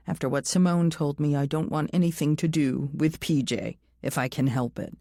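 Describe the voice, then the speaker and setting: Female audiobook narrator for a first-person thriller, deep-registered and soft-edged in tone, very dry recording. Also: fairly even intonation, moderate pace, no echo.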